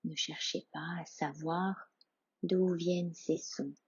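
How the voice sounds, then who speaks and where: Low, breathy woman guiding meditation slowly. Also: dry recording, muffled recording. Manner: hushed, calm, soothing, with long pauses. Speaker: yoga nidra instructor. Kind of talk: guided meditation, soft slow instruction.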